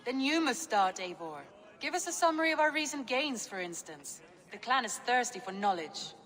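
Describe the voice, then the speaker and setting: A woman voicing a composed, learned Viking woman who explains things calmly. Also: a little high, normal speed. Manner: calm, explanatory. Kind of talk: video game cutscene acting.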